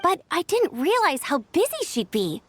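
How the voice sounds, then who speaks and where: A woman's voice: very high voice, huge pitch swings, normal speed, fairly bright tone, slight background noise, exaggerated voice. A woman voicing an upbeat young scout in a video game, youthful, breezy and confident. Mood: cheerful. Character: upbeat, energetic young female scout, eager to help.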